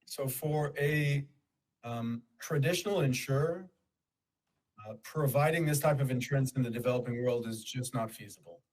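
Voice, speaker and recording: A man who grew up in Kenya giving a conference presentation in a measured, explanatory way, pausing for emphasis. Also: medium pitch, a little mellow, low-quality recording. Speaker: conference speaker for a nonprofit.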